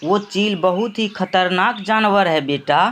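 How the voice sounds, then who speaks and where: Man voicing an anxious, high-pitched mother bird. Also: a little slow, fairly bright tone, slight background noise. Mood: frightened, urgent. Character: anxious blue mother bird, protective and pleading. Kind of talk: acted cartoon moral story.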